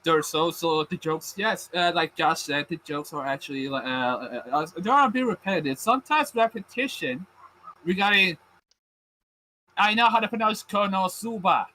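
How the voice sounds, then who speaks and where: A man's voice: high-pitched, animated, moderate pace, bright, forceful tone, a little hiss or hum, rough recording. A man with a Puerto Rican accent, co-hosting a casual podcast chat, rambling with frequent restarts and casual swearing. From Puerto Rico. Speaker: podcast co-host.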